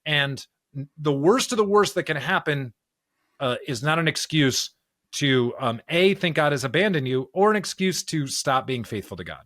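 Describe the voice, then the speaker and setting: A man with a bright, forward voice in a dry, close recording. He talks like a podcast co-host in discussion, thinking aloud and checking his points with frequent tag questions. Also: on the high side, moderate pace, no room sound. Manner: animated explainer, often checking with "right?". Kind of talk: conversational discussion of scripture and pop culture.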